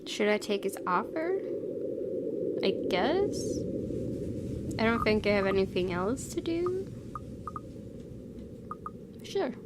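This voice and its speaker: A woman's voice: mid-range voice, sing-song, slow, long pauses, very noisy. A young woman hosting a let's play, chatting casually and reading game text aloud. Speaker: gaming video host. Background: clear game music.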